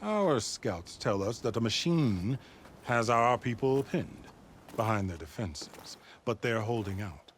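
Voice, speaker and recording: An actor playing a stern, suspicious overseer of a secretive order in a video game, speaking in a formal, measured, imperious way. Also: male voice, medium pitch, big pitch swings, slight background noise. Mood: grave, businesslike. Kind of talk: video game cutscene acting.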